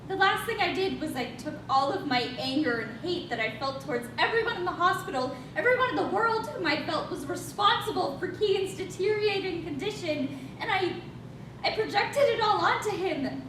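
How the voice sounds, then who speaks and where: A distant, echoey stage recording with clear rumbling noise. A woman plays a young, defensive, guilt-ridden woman with a high-pitched voice, telling her story in an emphatic, confessional manner. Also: expressive, moderate pace. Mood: guilty, confessing. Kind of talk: staged reading, dramatic monologue.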